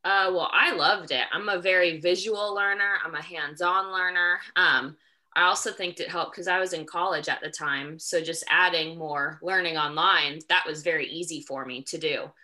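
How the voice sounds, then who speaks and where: A woman's voice: lowish voice, steady tone, normal speed, sharp tone. A young woman in her twenties, a gym coach, answering interview questions with earnest enthusiasm.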